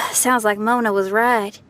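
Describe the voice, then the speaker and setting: A woman's voice: medium pitch, steady tone, takes it slowly, a bit bright, a little hiss or hum. A woman voicing a wry, easygoing young adventurer in a video game, with a casual, matter-of-fact delivery. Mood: wry, brisk.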